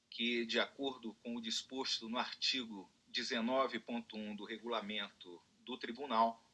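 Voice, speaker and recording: Presiding judge, man, Brazilian Portuguese accent, measured formal delivery, bright tone. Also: mid-range voice. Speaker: court president. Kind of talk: formal opening of a court hearing.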